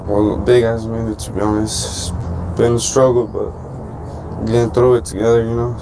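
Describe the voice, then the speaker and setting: A man's voice: medium pitch, little pitch movement, moderate pace, noisy background. A nineteen-year-old man answering a street interview in casual, slangy talk.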